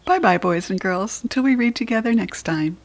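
An American woman reading a picture book aloud to young children, expressive, with a breathy voice. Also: medium pitch, a little fast. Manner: expressive, addressing small children.

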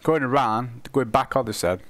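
A man with a croaky, cold-roughened voice, casually commentating his own gameplay and chuckling at his mistakes. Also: medium pitch, moderate pace, a bit bright, no echo. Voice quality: croaky, gravelly. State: hoarse from a cold. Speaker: let's play host.

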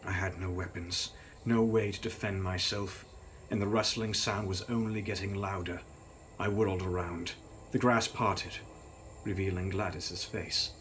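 A man's voice: deep voice, steady tone, unhurried, fairly bright tone, slight background noise. A young man reading a gothic novel aloud in a grave, theatrical, dramatic style. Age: young adult. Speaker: author reading his novel.

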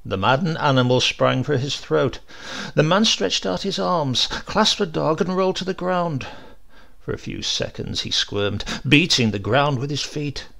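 A man reading a classic short story aloud in a measured, dramatic storytelling manner. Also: fairly high pitch, lively intonation, gravelly, fairly bright tone. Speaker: narrator.

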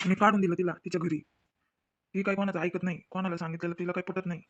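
Man voicing a high-voiced, stubborn young husband. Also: flat delivery, racing. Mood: defiant. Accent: rural Maharashtrian. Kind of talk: acted family story cartoon. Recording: dull, noisy, lo-fi recording.

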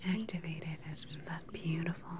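A young woman playing a calm, clinical evil scientist in an ASMR sci-fi roleplay, speaking softly and soothingly, close to the mic. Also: low-pitched, background noise, close to an everyday voice. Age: young adult. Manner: soft, soothing, unhurried personal attention. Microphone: close microphone, leaning in near camera.

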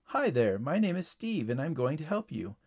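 A man's voice: fairly high pitch, sing-song, normal speed, darkish tone, husky, heavily acted. A man voicing a kind, reassuring male paramedic in a children's story. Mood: soothing. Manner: soothing, reassuring.

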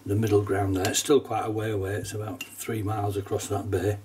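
A middle-aged man with a cold, sounding nasal, casually talking through a watercolour painting demonstration at his desk, relaxed and chatty with small laughs. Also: on the low side, a little fast, far from the mic, slight background noise. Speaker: watercolour teacher. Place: painting desk at home, indoors.

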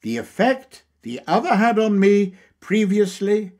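Male audiobook reader for a lecture text: unhurried, measured delivery, slightly high pitch, animated intonation. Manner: deliberate, measured, emphatic phrasing. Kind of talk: scripted lecture reading.

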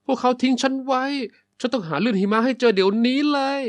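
A woman's voice: on the high side, quick pace, dry recording, close to an everyday voice. A woman playing a plucky, defiant little boy goat in a children's cartoon, feisty and determined. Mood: worried.

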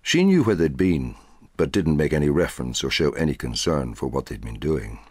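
A middle-aged man reading a short story aloud in a measured, dramatic way, with a husky voice. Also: a bass voice, sing-song, a little mellow, no room sound, studio quality. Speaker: audiobook narrator.

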